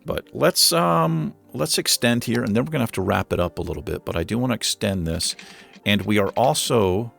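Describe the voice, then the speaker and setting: Gravelly low-voiced man narrating gameplay. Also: moderate pace, faint music behind. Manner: relaxed, thinking aloud with many fillers. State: losing his voice. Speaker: gaming channel host. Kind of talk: casual gameplay commentary.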